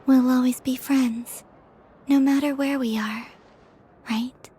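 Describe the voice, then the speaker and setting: A woman voicing a gentle, teasing, serene young moon goddess in a video game, soft and tender. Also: high voice, steady tone, slow pace, slightly rough, exaggerated voice. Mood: wistful, tender.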